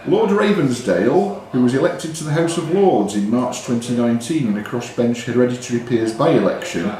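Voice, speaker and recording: A man hosting a quiz, reading questions deliberately and giving short, curt rulings on the answers. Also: mid-range voice, animated, a little mellow. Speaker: quizmaster. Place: indoors in a pub. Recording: very echoey room with pub crowd. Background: clear crowd chatter.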